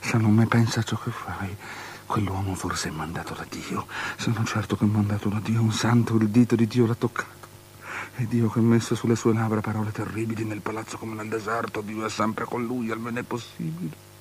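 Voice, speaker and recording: A man plays an anxious, superstitious king in experimental radio theatre. The low voice declaims and coaxes, often sinking into a whisper. The recording is noisy, with a hum behind it. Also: steady tone, brisk, close to an everyday voice. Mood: fearful, uneasy. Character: anxious, superstitious king pleading and bargaining with a princess. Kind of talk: avant-garde radio theatre acting.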